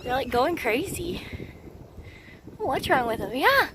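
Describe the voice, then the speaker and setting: A hoarse woman chats through her daily vlog, her voice sitting a little high. She wanders from thought to thought with lots of ums. It is a noisy phone recording. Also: big pitch swings, a little slow. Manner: chatty, rambling with many ums. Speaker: vlogger. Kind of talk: casual daily vlog to camera. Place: outdoors on a rural road, wind.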